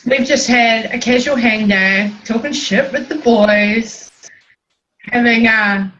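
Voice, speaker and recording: A woman's voice: fairly high pitch, slow pace, fairly bright tone. A woman with a New Zealand accent hosting a casual livestream chat, rambling and chatty with plenty of ums. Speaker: host. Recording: dull lo-fi stream audio.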